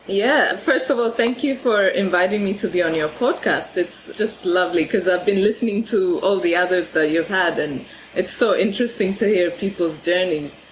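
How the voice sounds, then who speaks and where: A woman's voice: fairly low pitch, normal speed, few pauses, slight background noise, low-quality recording. A woman from Ethiopia, a podcast guest talking about her photography, often laughing and restarting words. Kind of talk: conversational interview.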